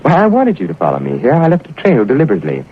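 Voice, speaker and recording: An actor playing a self-assured, brusque British secret agent who narrates a 1940s radio drama. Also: male voice, mid-range voice, wildly swooping pitch, rapid-fire, slight background noise. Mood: cool, defiant. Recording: muffled vintage radio recording with music.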